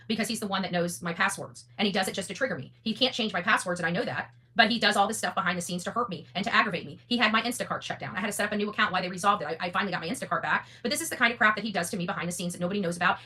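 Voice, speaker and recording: Female online host, rapid-fire and forceful, blunt, defiant monologue with repeated phrases for emphasis. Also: mid-range voice. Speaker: online content creator and host. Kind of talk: unscripted opinionated monologue to an audience.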